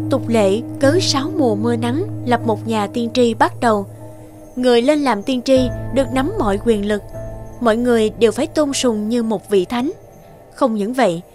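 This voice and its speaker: A woman's voice: on the high side, darkish tone. A woman narrator reading a scripted fairy tale as a storyteller. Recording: music behind the voice.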